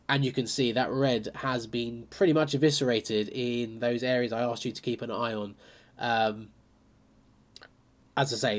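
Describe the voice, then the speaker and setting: A congested man with a cold, chatting casually as he goes through an election map, with plenty of fillers. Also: mid-range voice. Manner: chatty and conversational, with frequent fillers. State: bunged up with a cold. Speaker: political commentator. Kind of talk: casual analysis talking over a map.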